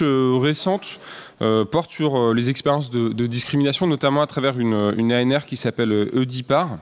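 A man's voice: mid-range voice, clean tone. A man moderating a conference panel who introduces the speakers with many fillers. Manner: halting, frequent fillers.

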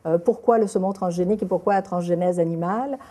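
A middle-aged woman, a documentary filmmaker answering an interview, pausing often on filler hesitations. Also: fairly low pitch, mellow. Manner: halting, many filler hesitations.

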